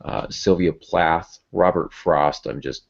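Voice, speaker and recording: A man with an extremely low voice hosts a casual writing podcast. He talks informally and gets animated to make a point. The recording is dull and lo-fi. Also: slow pace, vocal fry, breathy edge. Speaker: podcast host and writing teacher.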